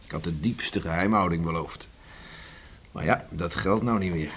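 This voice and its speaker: An actor playing a hearty rural beachcomber farmer who tells tall stories in a folksy, jovial way in a Dutch radio play. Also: male voice, low-pitched, normal speed, a bit bright. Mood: chatty. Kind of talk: Dutch radio drama acting. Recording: noisy old radio play recording.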